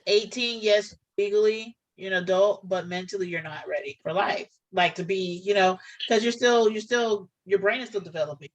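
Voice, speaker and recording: A woman's voice: medium pitch, normal speed, hardly any pauses, lo-fi sound. A woman chatting casually on a reaction show, earnest and rambling, often restarting her sentences. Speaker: co-host of a reaction channel.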